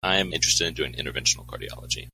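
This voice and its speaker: Very deep, bright-toned man from Houston. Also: sing-song, rapid-fire, vocal fry, slightly rough, no room sound, poor audio. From Houston, Texas. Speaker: cardiology fellow, guest. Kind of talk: podcast introduction.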